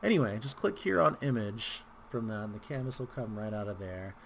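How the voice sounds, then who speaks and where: A man hosting a casual software tutorial, talking in a rambling, informal way with wry asides. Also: lowish voice, big pitch swings, brisk, creaky, a little hiss or hum. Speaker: tutorial host.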